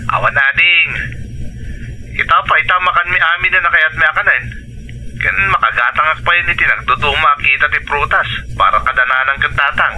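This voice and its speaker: Radio drama role: coaxing, money-needy older brother, Ilocano accent, very sharp bright tone, slight background noise. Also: male voice, a little high, fairly quick, poor audio. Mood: cheerful.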